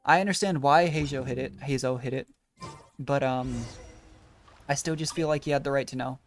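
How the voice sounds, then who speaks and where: A game streamer talks casually over a playthrough in a medium-pitched voice. The delivery is rambling, thinking aloud. Faint music sits in the background. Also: moderate pace.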